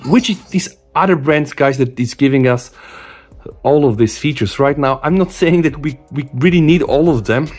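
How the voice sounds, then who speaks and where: A middle-aged man giving a casual hands-on phone demo in an animated, exclamatory way. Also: on the high side, normal speed. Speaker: tech reviewer. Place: small room at a desk, indoors.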